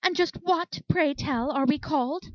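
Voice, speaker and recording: A woman voicing a haughty, easily outraged unicorn mare in a storybook, sputtering and high-flown. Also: a little slow, dry recording, muffled recording. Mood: indignant.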